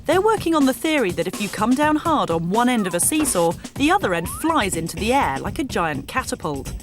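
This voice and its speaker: A woman's voice: a little high, big pitch swings, brisk. A woman giving scripted narration for a competition show in an upbeat, punchy way. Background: music.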